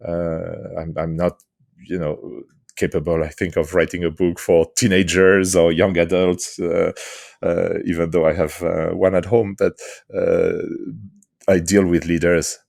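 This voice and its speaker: A middle-aged Frenchman with a very deep, gravelly voice speaks with a French accent. He answers slowly and thoughtfully, with lots of hesitations and restarts. The sound is dry and close. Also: darkish tone, dry recording. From France. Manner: hesitant, many fillers and restarts. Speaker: guest, author and leadership coach.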